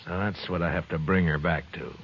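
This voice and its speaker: Very deep, weary frontier marshal played by a man. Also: fairly even intonation, brisk, a little hiss or hum, close to an everyday voice. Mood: dry, resigned. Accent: American. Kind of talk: 1950s radio western acting with first-person narration. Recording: muffled old radio broadcast, music behind.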